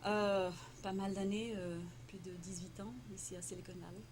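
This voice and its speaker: A woman's voice: medium pitch, flat delivery, moderate pace, darkish tone. A woman whose first language is Tamil, who grew up in Morocco, answering interview questions in French with many fillers, restarts and frequent laughs. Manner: hesitant, many fillers and repeated words, laughs often. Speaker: interviewee, entrepreneur. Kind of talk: casual conversational interview. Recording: noisy background.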